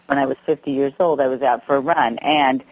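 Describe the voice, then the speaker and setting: A woman's voice: very deep voice, fairly even intonation, fairly quick, darkish tone, poor audio. Earnest middle-aged woman telling her story. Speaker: author and professor, guest. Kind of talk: interview.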